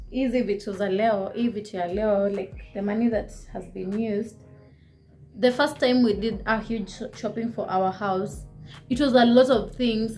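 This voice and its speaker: A young woman with a Kenyan accent talks easily through a haul of household shopping, seated in her living room and facing the camera. Her voice sits at a middle pitch with a conversational lilt. Soft music plays faintly underneath. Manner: chatty, code-switching, shows items to camera. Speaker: vlogger. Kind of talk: casual shopping haul chat.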